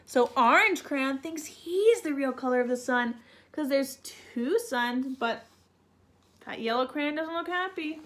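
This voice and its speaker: Female storybook reader, high voice, unhurried, simple commentary for young children. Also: animated, a bit bright.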